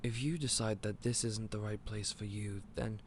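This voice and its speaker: An actor playing a gentle, clumsy candy shop owner who stammers and speaks in a polite, old-fashioned way. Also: male voice, fairly low pitch, fairly even intonation, normal speed, slight background noise, natural voice. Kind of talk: audio roleplay acting.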